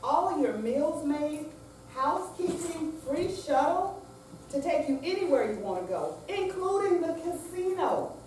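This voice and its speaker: A woman's voice: sits high, lively intonation, measured pace, a little mellow. A woman playing an earnest, insistent adult daughter coaxing her mother in a staged play reading. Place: senior center room, live staged reading. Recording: very echoey hall, distant mic, noisy.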